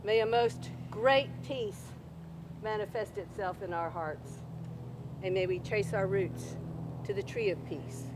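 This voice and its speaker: A woman's voice: medium pitch, measured pace, background noise. A woman delivering a ceremonial chant and blessing, in a dull lo-fi recording. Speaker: opening chant performer.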